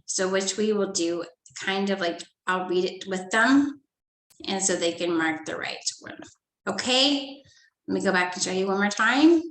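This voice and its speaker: A woman teacher reading a story to young children and going over a study guide, talking gently and checking in with "okay?" often. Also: mid-range voice, a little slow, roomy sound, poor audio. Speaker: first grade teacher.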